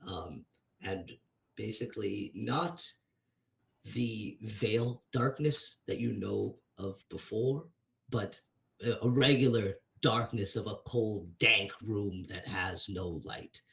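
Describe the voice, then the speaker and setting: Male game master, deep-ish voice, slow and halting descriptions. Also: creaky, a bit bright. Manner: hesitant, searching for words while describing scenes. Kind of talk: narrating a tabletop game.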